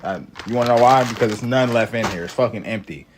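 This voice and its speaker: A man's voice: mid-range voice, fast. A man chatting casually and confessing to an audience. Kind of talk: casual confessional chat to camera. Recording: faint background noise.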